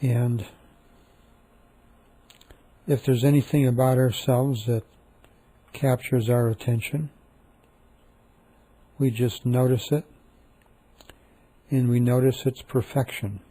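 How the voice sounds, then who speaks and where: Slow, very mellow meditation guide man. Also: medium pitch, slightly rough. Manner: calm, soft, repetitive with long pauses.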